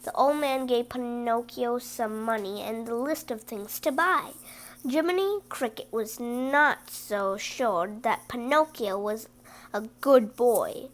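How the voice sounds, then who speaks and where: Slow, high-pitched woman reading a storybook. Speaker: storybook reader. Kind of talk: children's read-aloud with asides.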